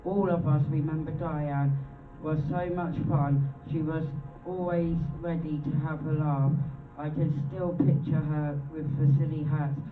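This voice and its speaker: A man reading a tribute aloud at a charity event, halting and pausing in the middle of phrases. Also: on the high side, little pitch movement. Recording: echoey, distant, phone-like, music behind.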